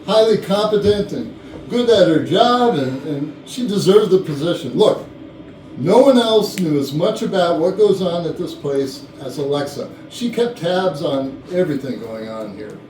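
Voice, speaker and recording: A man's voice: a little high, expressive, a little slow, darkish tone, distant mic. A man playing a flustered, defensive company boss in a staged murder mystery comedy, protesting emphatically. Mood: earnest. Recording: echoey room from afar, faint noise.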